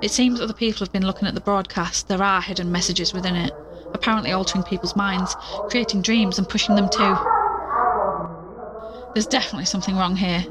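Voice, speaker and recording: An anxious, tired woman investigator, played by an actress confides in her audio diary in an audio drama. She talks in a casual, hesitant way at a middle pitch, with noticeable ambient noise around her. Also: fairly even intonation, brisk, natural voice. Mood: anxious, puzzled.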